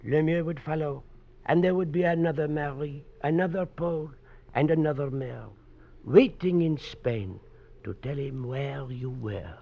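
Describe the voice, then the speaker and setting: A wise old knife maker speaks with a creaky, gravelly voice. He is grave, fatherly and cryptic, in old radio drama style on a dull recording. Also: a little high, a little slow. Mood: grave warning. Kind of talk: 1940s radio drama acting.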